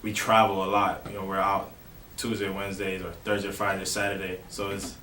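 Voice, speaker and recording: A young man from Miami, a college baseball player, answering interview questions in a relaxed way with lots of "you know". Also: deep voice, a little fast. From Miami, Florida. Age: young adult. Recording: roomy, distant mic with faint noise.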